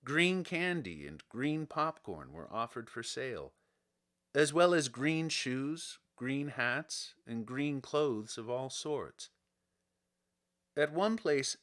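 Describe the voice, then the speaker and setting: Male audiobook reader for a classic children's tale: slightly high register, bright, forward tone. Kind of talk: scripted storybook narration.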